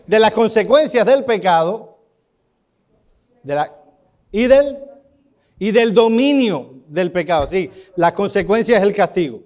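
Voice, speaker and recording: A man's voice: high-pitched, expressive, a little slow, long pauses, darkish tone. Cuban man teaching a Bible class. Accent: Cuban Spanish. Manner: questions the class, calls on students by name. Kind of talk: interactive church Bible class.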